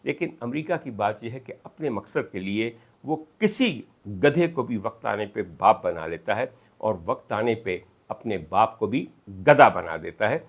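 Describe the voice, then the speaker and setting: A man with a Pakistani accent delivering political commentary as an emphatic, oratorical monologue, with dramatic pauses and piled-up lists. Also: fairly low pitch, expressive. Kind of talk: rhetorical monologue of political analysis.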